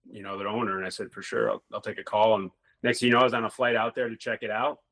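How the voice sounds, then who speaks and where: Man, middle-aged, low voice, rambling, casual storyteller, former hockey player. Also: level tone, rapid-fire, fairly bright tone. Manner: rambling, laid-back, swears casually.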